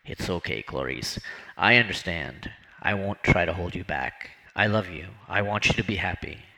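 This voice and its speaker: A man's voice: low-pitched, animated, normal speed, gravelly, pushed and bright, full character voice, poor audio. A man voicing anxious old man Norman. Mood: pleading.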